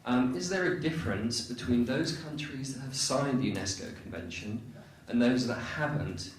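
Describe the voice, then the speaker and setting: A man from Europe asking a panel a question in an articulate, deliberate way. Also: mid-range voice, a little hiss or hum. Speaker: conference speaker asking a question. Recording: very echoey hall, far from mic.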